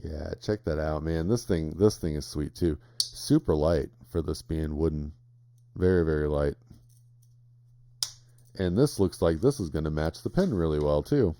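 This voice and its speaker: A man's voice: rumbling low, measured pace, dark tone, no room sound. A young man chatting casually at home late at night while unboxing gear. He rambles, restarts and chuckles often, and his voice is dry and crackly.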